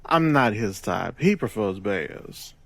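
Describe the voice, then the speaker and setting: Theatrical, animated gangster boss. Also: male voice, on the low side, vocal fry, no room sound, full character voice. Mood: smug, businesslike. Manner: expansive, deliberate, self-assured persuasion. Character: smooth, theatrical male gangster boss with a sly wit. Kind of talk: audio drama acting.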